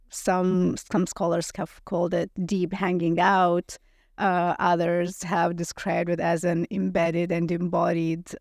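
A middle-aged woman from Lithuania talks with a gravelly edge to her voice. She explains her work earnestly as an interview guest. The recording is dry and close. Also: fairly low pitch, darkish tone, no room sound. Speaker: guest, anthropology professor. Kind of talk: interview answers.

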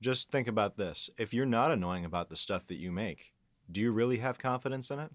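A man with a fairly deep voice talks casually as a podcast guest. His voice is recorded dry, with hardly any room sound. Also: brisk, dry recording.

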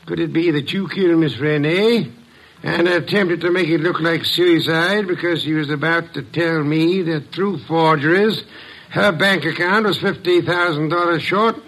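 A man's voice: a little high, normal speed, a bit bright, low-quality recording. A man playing a pompous, irritable genius detective, speaking formally and wordily in an old-time radio drama. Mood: accusing. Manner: pompous, wordy, formal, sardonic.

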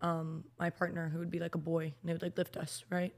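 A woman's voice: on the low side, monotone, a little fast, creaky. Female podcast participant, conversational, slangy, lightly teasing. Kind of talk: casual chat.

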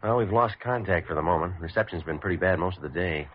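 A man's voice: sits low, fast talker, a little hiss or hum, close to an everyday voice. A man acting a businesslike coast guard radio operator in a vintage radio drama, matter-of-fact. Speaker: actor in a radio medical drama. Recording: muffled old radio recording.

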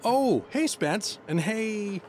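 A man's voice: high voice, lively intonation, slow pace, darkish tone, slight background noise. A man playing an earnest, nerdy office father who sounds eager and awkward. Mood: awkward, defensive. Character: earnest, nerdy, put-upon office dad.

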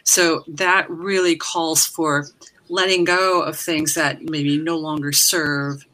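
Bright, low, even-toned woman. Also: takes it slowly. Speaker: astrologer on a panel. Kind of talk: explanatory reading.

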